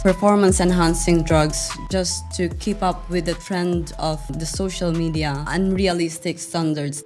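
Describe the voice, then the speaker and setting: A woman's voice: on the low side, a little mellow. A woman hosting a podcast interview, putting prepared questions to her guest. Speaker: podcast host.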